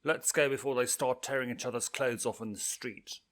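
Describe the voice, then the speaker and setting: A man's voice: medium pitch, moderate pace, fairly bright tone, pitched above the performer's own voice, natural voice. A man voicing a sullen, exasperated teenage owl demon girl who complains in a whiny manner.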